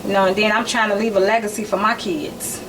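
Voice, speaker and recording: A woman in her forties chatting casually as a podcast guest, talking about her work in short relaxed phrases. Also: medium pitch, fast talker. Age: 40s. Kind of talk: casual chat. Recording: noisy, distant mic.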